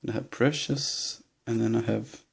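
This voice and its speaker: Halting young Danish man, gravelly. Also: medium pitch, steady tone. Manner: hesitant, halting listing with nervous laughs. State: nervous and shaky. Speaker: vlogger. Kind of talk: casual bookshelf tour.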